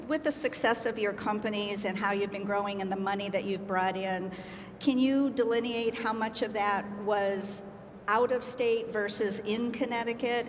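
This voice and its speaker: A woman moderating a panel, politely putting formal questions to the speakers. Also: medium pitch. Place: conference hall. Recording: hall reverb with clear room noise.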